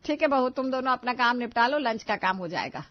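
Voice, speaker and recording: A woman's voice: on the high side, expressive, fairly quick, no room sound, pitched above the performer's own voice, lightly acted. A woman playing an easygoing, chatty older mother-in-law in a family cartoon. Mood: casual, chatty. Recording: dull lo-fi audio.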